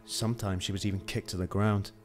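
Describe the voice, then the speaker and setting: A man's voice: on the low side, steady tone, fast, soft background music, close to an everyday voice. A man playing a driven, hot-tempered police detective in an earnest, dramatic amateur radio play. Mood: grim, narrating.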